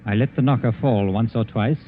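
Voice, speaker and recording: An actor playing a wry, uneasy gentleman who narrates a 1940s radio mystery in a formal, dry manner. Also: mid-range voice, brisk, music in the background, close to an everyday voice. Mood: brooding, atmospheric. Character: Arthur Grange, wry uneasy gentleman narrator, old friend. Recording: tinny, noisy vintage 1940s radio recording.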